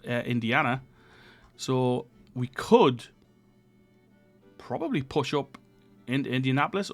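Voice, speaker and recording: A man commenting casually on a strategy game he is playing, sounding congested and croaky from a cold as he thinks aloud over the map. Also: medium pitch. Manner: relaxed, thinking aloud with fillers while reviewing the map. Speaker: gaming channel host.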